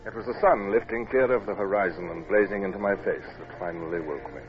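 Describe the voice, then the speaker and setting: An actor playing a weary, stern British naval captain with an English accent, in formal old radio drama style. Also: male voice, on the low side, fast talker, faint music behind, lightly acted. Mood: weary, grave. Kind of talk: old radio drama acting and first-person narration. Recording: dull, noisy old radio recording.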